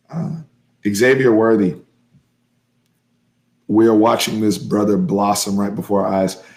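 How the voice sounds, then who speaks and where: Hoarse male sports fan host, gravelly and low-pitched, emphatic live recap. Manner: excitable, emphatic, repeats phrases. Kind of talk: live-stream game recap, casual.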